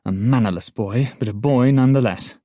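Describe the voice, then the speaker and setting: A man voicing an excitable, headstrong elderly noblewoman in an audiobook, talking in a rapid, indignant rush. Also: medium pitch, husky, no room sound, clean studio sound, close to an everyday voice. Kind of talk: audiobook character voice.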